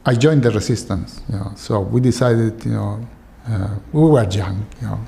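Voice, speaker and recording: Gravelly older Chilean man. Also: lowish voice, normal speed, dark tone. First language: Spanish. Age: 70s or late 60s. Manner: peppers talk with "you know". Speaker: documentary interviewee. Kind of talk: personal testimony.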